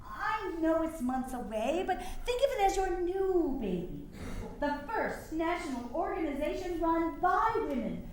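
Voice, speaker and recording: Actress as a resolute suffragist, very high voice. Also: female voice, big pitch swings, a little slow, a little mellow, heavily acted. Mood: reassuring. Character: resolute, optimistic suffragist leader, Susan's steadfast friend. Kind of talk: theatrical staged reading. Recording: very echoey room, faint noise.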